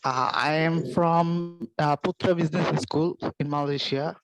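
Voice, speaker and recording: A man's voice: a little high, fairly even intonation, a little slow, dry recording, rough recording. A male doctoral student with a Bangladeshi accent answering a lecturer's question hesitantly, often rephrasing. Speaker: PhD student, seminar participant. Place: online video call.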